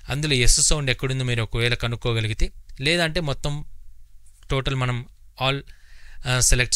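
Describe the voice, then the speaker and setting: A man giving an informal step-by-step software tutorial, instructional and often repeating himself, close on the mic. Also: medium pitch, dry recording. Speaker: tutorial host.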